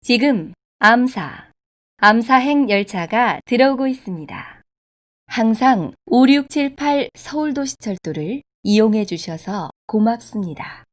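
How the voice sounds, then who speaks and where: A woman reading scripted subway station announcements in a formal, polite, measured way. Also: on the high side, expressive. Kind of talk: scripted public transit announcement.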